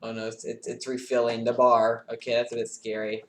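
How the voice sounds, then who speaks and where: A man streaming a game live, reacting excitably with yelps and shouts, keyboard clicking behind him. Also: medium pitch, brisk, echoey room, noisy background. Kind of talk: live gameplay commentary. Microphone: desk mic with audible keyboard.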